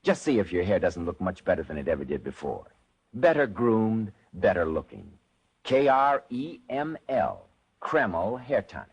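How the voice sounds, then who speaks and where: An American man with a slightly low, husky voice works as a 1940s radio announcer. His delivery is polished and salesmanlike, with big, animated swings of emphasis. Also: moderate pace. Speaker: radio announcer and program host.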